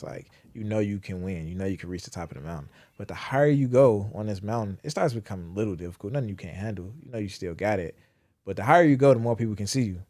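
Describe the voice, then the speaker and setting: A young man talks in loose, candid podcast chat, cursing casually as he reflects. His voice sits on the low side. The sound is dry and close, with little room around it. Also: a little mellow, no echo. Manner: candid, profane, reflective storytelling. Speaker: podcast host.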